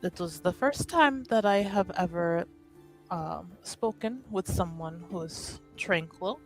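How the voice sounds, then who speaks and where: A woman voicing a gentle, earnest female character in a fantasy tabletop game, polite and soft-spoken. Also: fairly low pitch, unhurried, faint music behind, pitched below the performer's own voice, rough recording. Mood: contrite.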